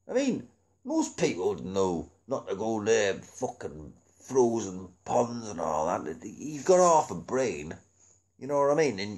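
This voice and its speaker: Low-voiced British man, animated pitch. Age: middle-aged. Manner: sweary, colloquial, chuckling often. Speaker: amateur DVD reviewer. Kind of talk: casual rambling chat to camera.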